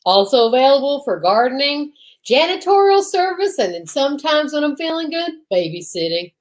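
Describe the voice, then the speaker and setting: Female actor as eccentric boozy male photographer, high voice, pompous and theatrical, radio-play style. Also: big pitch swings, moderate pace. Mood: pompous, tipsy. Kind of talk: old-time radio comedy-detective acting.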